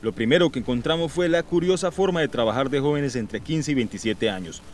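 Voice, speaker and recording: A man's voice: medium pitch, fairly quick, a little hiss or hum. A male news reporter giving a formal, dramatic narration for a special report.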